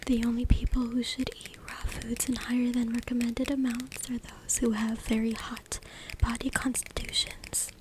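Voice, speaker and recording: A woman reading aloud in a soft, hushed, deliberate ASMR whisper, close to a USB microphone. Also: fairly high pitch, level tone. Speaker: ASMR creator reading from a book. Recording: noisy close USB mic with clicking. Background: noise, tapping and clicking sounds.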